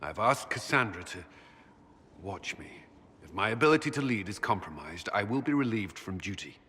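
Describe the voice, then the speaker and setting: An actor playing an earnest, dutiful military commander in a video game, speaking in a measured, formal, guarded way. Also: male voice, on the low side, expressive, sharp tone, husky, big-room sound, exaggerated voice. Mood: solemn, resolute. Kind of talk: video game cutscene acting.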